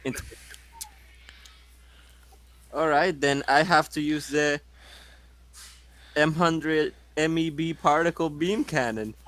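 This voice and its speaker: A man's voice: on the high side, measured pace, a bit bright, no echo. A man playing a tabletop game, chatting casually with lots of swearing and wisecracks. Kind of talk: casual profane game chat.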